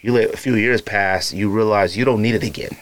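A man's voice: fairly low pitch, fairly quick, no echo. A young man hosting a podcast, talking casually and rambling, with many fillers and asides. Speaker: podcast host. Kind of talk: casual solo podcast talk.

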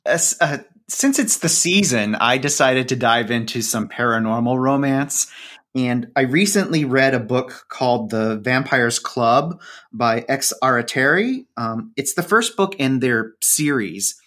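A man speaking as a podcast guest in a conversational interview, enthusiastic, with measured phrasing and elaborate word choices. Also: mid-range voice, expressive, a bit bright.

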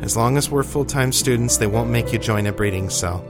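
A man's voice: mid-range voice, brisk, heavy reverb, in a large hall, music in the background, lightly acted. A man voicing an earnest, determined and tender young male student in narrated audio fiction. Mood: tender, reassuring. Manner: earnest, pleading, reassuring. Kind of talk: audiobook character dialogue.

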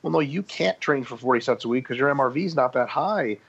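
A man's voice: mid-range voice, lively intonation, fast, gravelly, a bit bright, breathy edge, lo-fi sound. A man explaining muscle training in a casual podcast discussion, talking through his points with frequent ums and restarts. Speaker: guest expert.

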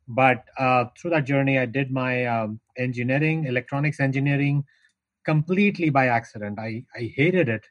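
A man's voice: medium pitch, moderate pace, dry recording, lo-fi sound. A middle-aged man from India with an Indian accent, telling his career story at length as a guest in a podcast interview, with frequent restarts. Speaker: guest, founder of an insurance tech business.

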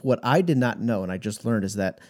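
A man's voice: lowish voice, animated, a little fast, a little mellow. A man hosting a casual podcast interview, easygoing and encouraging. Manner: easygoing, encouraging, short backchannels. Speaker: podcast host.

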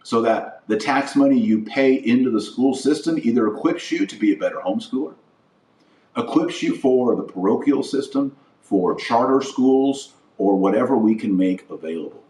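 An American man delivering a political monologue as a podcast host, emphatic and deliberate, stressing his phrases with pauses. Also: mid-range voice, expressive, darkish tone. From United States. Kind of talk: political platform monologue, speech-like. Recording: roomy echo, distant from mic.

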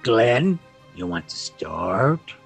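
A man's voice: mid-range voice, sing-song, extremely slow, creaky. A man hosting a podcast, reading a scripted introduction over music. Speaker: podcast host. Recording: music bed behind voice.

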